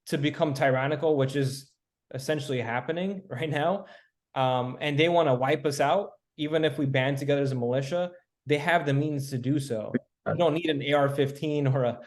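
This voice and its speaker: A man with a somewhat high-pitched voice speaks as a podcast guest. He lays out his views in a measured, reasoned way, building each point step by step. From Miami, Florida, US. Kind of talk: casual podcast discussion.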